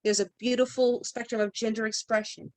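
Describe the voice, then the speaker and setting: A woman's voice: a little high, steady tone, brisk, darkish tone, no room sound. A woman speaking as a podcast guest in conversation, emphatic and building her points through repeated phrases.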